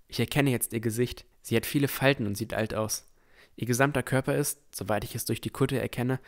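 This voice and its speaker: A cautious boy adventurer, played by a man narrates in the present tense. The voice is fairly low, with a scripted fantasy audio drama delivery full of careful wonder. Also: close to an everyday voice. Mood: watchful.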